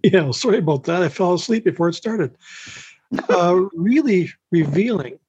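A man's voice: sits high, expressive, moderate pace, darkish tone, husky. A man from Canada casually sharing his thoughts as a participant in an online group meeting. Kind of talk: casual spoken reflection. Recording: dull lo-fi online call audio.

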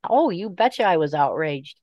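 Halting, lower-voiced woman in discussion. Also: very animated, no echo, highs cut off. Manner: halting, deliberate, searching for words. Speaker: book group member. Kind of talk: informal book discussion, giving opinions.

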